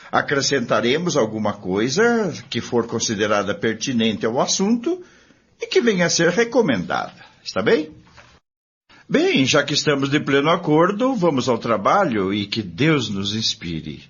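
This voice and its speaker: A man with a Brazilian accent playing an earnest, courteous spiritist group leader in a radio drama, formal and deliberate. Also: a little high, fairly bright tone, slightly rough, full character voice. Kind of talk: amateur radio drama acting. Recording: dull lo-fi, music behind.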